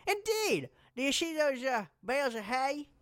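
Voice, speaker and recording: A man's voice: very high voice, big pitch swings, normal speed, fairly bright tone, no echo, clean studio sound, heavily acted. A man playing a sly, bumbling peasant farmer in a fantasy comedy audio drama, hesitant and mock-grandiose. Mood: mock-grave improvising.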